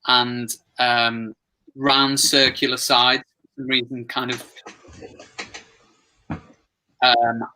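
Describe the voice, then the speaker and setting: A man's voice: mid-range voice, level tone, very slow, long pauses, bright, forceful tone, a little hiss or hum, poor audio. A man taking part in a casual workshop discussion, thinking aloud hesitantly. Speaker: workshop participant.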